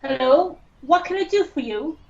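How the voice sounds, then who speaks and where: A woman's voice: sits high, animated, moderate pace, gravelly, darkish tone, highs cut off. A woman playing a curt, unbudging stable worker in a radio play, firm and unimpressed. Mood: businesslike, impatient.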